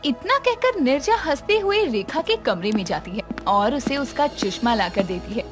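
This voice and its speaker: A woman's voice: high voice, big pitch swings, normal speed, music in the background. A woman narrating a scripted moral story as its storyteller.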